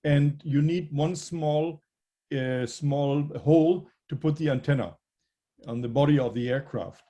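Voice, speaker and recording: Mellow, husky man explaining aviation tech. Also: fairly high pitch. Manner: measured, hesitant, explaining technical points. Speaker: aviation technology guest. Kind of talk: interview answers.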